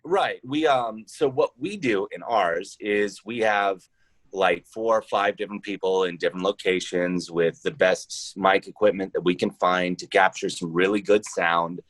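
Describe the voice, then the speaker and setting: A man's voice: low voice, measured pace, fairly bright tone, no room sound, poor audio. A man answering casually and conversationally as the guest in a podcast interview.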